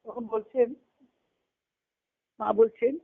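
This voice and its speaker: Lady explaining a spiritual reading, talks slowly and rephrases stuff, tinny conference call phone audio. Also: fairly high pitch, fairly even intonation, gravelly. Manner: explanatory, repeats and rephrases phrases. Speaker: spiritual discussion leader.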